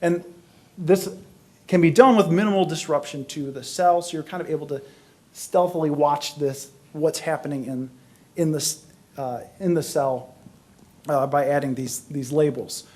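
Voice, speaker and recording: A man giving an explanatory academic science lecture as a panelist, speaking in a halting way as he searches for words. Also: fairly high pitch, darkish tone.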